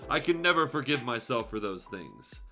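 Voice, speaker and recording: A man's voice: mid-range voice, big pitch swings, moderate pace, pushed and bright, faint music behind, pitched below the performer's own voice. Man putting on a mournful, melodramatic chained ghost. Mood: mournful moaning. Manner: moaning, melodramatic, solemn. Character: tormented male ghost in chains, mournful, scornful. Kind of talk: dramatic fan fiction read-aloud.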